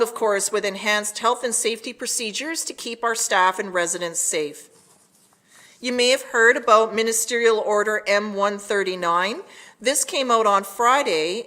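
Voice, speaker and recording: Woman, town mayor, prepared civic address, formal measured delivery, bright forceful voice, mid pitch.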